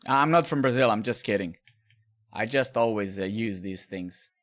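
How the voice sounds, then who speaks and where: A man's voice: lowish voice, normal speed. A middle-aged man from Serbia, rambling and swearing casually while coding live and chatting with viewers. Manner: rambling, profane, talks to chat while coding.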